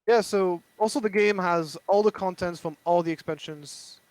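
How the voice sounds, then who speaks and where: A man's voice: high-pitched, normal speed, a little mellow, no echo, poor audio. A man with a French accent, chatting casually about a board game he designed, hesitant with many restarts. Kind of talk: casual chat.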